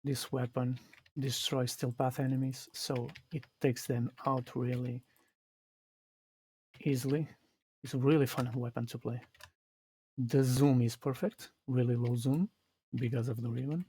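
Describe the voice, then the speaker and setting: A man from Portugal with a Portuguese accent, explaining his game live in a halting way that restarts phrases. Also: mid-range voice, fairly even intonation, mellow, a little hiss or hum. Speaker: streamer. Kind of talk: live gameplay commentary.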